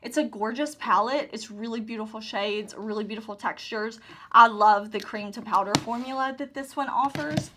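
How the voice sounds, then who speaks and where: A woman's voice: a little high, moderate pace, bright, forceful tone, slight background noise. A woman casually talking through her makeup collection, gushing and enthusiastic, repeating her favorite phrases. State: hoarse, recovering lost voice. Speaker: beauty YouTuber. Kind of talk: casual product overview.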